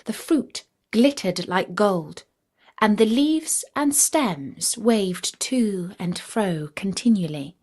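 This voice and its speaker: A woman reading a fairytale as a storyteller, in an expressive, measured storybook manner. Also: mid-range voice. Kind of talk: scripted fairytale narration.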